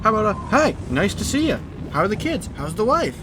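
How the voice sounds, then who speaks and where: A man playing a cocky, wisecracking young male superhero sidekick in an audio drama, brash, sarcastic and excitable. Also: high voice, brisk, noisy background.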